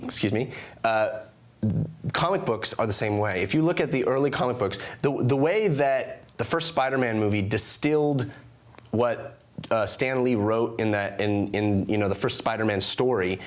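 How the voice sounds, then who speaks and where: A man co-hosting a podcast, asking questions and pushing back in a measured way. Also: on the low side. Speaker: co-host. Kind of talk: casual debate on a podcast.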